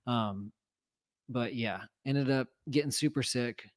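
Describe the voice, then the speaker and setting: A man's voice: medium pitch, slow pace. A man telling a long, rambling story in a casual conversation, full of fillers and restarts. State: recovering from lost voice. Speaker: co-host telling a home renovation story.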